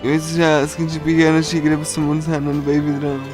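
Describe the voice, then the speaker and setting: A man reading a visual novel aloud on a casual livestream, in a halting, pieced-together way. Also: a little high, monotone. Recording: music behind the voice. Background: game music.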